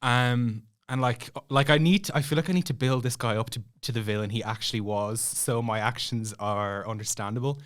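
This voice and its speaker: A man's voice: mid-range voice, brisk, hardly any pauses. A young Irish man telling a story as a podcast guest in a casual, chatty, self-deprecating way. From Ireland.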